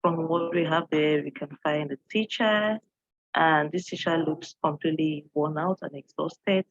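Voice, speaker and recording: A woman's voice: low-pitched, a little slow, no room sound, muffled recording. A woman from Cameroon, an English teacher, giving an earnest online presentation and posing questions to her audience, with frequent fillers.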